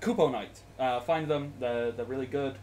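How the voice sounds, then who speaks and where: A man's voice: mid-range voice, fairly even intonation, moderate pace, a little mellow, a little hiss or hum. Chatty man running a tabletop game. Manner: laughs often, rambling with fillers. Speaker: game master. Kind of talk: running a tabletop game over a video call. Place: small room at home. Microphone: headset.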